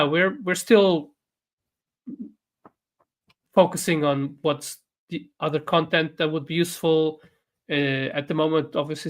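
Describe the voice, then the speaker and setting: Man with a Portuguese native tongue. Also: on the high side. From Portuguese-speaking country. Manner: hesitant, many fillers, laughs softly. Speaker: co-host, runs online academy. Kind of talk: casual livestream chat.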